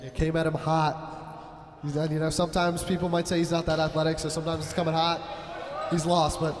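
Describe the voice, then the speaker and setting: A young man calls a school volleyball game play-by-play, loud, chatty and joking, in a somewhat high voice. He sits in an echoey gym with a long ringing reverb. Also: flat delivery, a little fast, noisy background. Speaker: play-by-play commentator. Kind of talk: amateur sports commentary.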